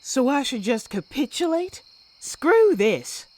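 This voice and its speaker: An actress playing a sharp, defiant Old West woman sheriff with folksy speech, in a western audio drama. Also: high voice, big pitch swings, slow pace, a little hiss or hum, full character voice. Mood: indignant. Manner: folksy frontier talk, assertive. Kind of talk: western comic-book audio drama acting.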